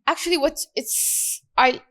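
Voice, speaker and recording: A woman's voice: high voice, a little slow, fairly bright tone. A woman from Morocco, around her thirties, speaking candidly as a guest in an interview, with frequent fillers and restarts. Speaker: guest entrepreneur. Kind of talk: conversational interview.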